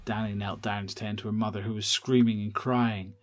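A man narrating a horror audio drama in a measured, descriptive storytelling manner. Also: fairly low pitch, flat delivery.

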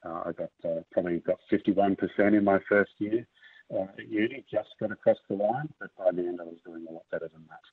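A man, a scientist, answering student questions on a video call in a relaxed, hesitant way with many fillers. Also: sits low, quick pace, breathy edge, no room sound, far from the mic. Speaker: scientist giving a talk. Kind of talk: online forum talk and Q&A. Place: home study with bookshelves. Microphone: webcam on a video call. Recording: tinny phone-like video call audio from off the mic.

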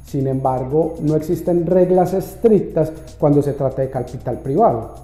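A man in his thirties seated at a desk in a bright loft office, explaining financing steadily to the camera with frequent restarts and fillers. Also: on the high side, normal speed, reverberant, soft background music. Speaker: real estate investor.

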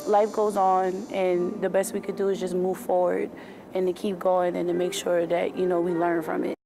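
A woman's voice: on the low side, flat delivery, normal speed, gravelly, background noise. A young adult woman, a patient representative, telling her story in an earnest, conversational way during an interview.

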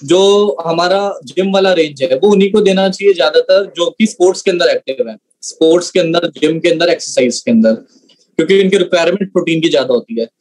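A man's voice: on the high side, fairly quick, a little mellow, low-quality recording. A young man explaining as a trainer on an online session, mixing in many English words. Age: young adult. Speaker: trainer answering product questions.

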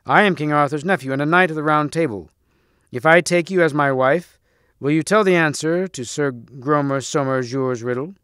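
A loyal storybook knight, read by a man, gallant and earnest. The voice is smooth and clear, the wording chivalrous and old-fashioned. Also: fairly high pitch, normal speed, a bit bright, dry recording, clean studio sound, natural voice. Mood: urgent, bold. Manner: earnest, chivalrous, archaic speech.

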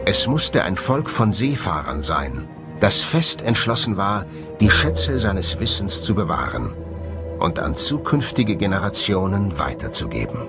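A man reads scripted documentary narration in a measured, solemn way. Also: low voice, big pitch swings. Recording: music bed under the voice. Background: music.

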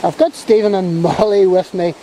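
A man with a Belfast accent hosting a casual outdoor vlog, with hesitant, drawn-out phrasing. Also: high-pitched, fairly even intonation, poor audio. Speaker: vlog host. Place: outdoors in a wooded glen beside a stream. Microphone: handheld camera mic, behind the camera. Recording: loud rushing stream noise outdoors.

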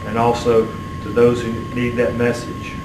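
A man's voice: medium pitch, measured pace. Preacher man, distant in an echoing room. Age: middle-aged. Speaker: Baptist preacher. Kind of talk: sermon and closing prayer. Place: small church sanctuary, at the pulpit. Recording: roomy, distant mic, steady room hum.

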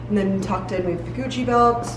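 A woman's voice: medium pitch, a little fast, echoey room, a crowd in the background, low-quality recording. A young woman in her twenties chatting upbeat on a handheld camera at home, casual vlog style. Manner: chatty, upbeat, filler-heavy. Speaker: vlogger. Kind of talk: casual family vlog chat. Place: home living room.

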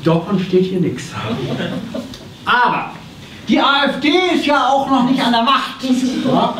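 An actor playing a fussy, pedantic tax inspector in a live comedy play, officious and prone to stammering. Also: male voice, extremely high pitch, expressive, measured pace, a bit bright, heavily acted. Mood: pompous. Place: small theatre stage. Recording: roomy stage recording from far off, noisy.

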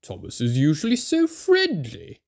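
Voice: mid-range voice, wildly swooping pitch, a little slow, creaky, a little mellow, exaggerated voice. A storybook narrator voicing a big, cheerful male engine close to the narrating voice.

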